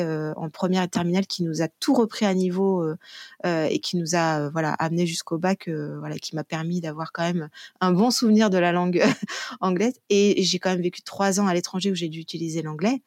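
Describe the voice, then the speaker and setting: A woman's voice: lowish voice, a little fast, a little mellow, no room sound, studio quality. A middle-aged woman answering podcast interview questions casually and reflectively, often searching for words and correcting herself. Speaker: interview guest, online French and Turkish teacher.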